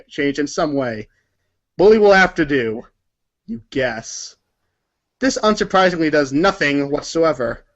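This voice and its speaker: Higher-voiced man narrating, dull lo-fi sound. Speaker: narrator of a group readers' theater. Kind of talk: read-aloud storybook narration.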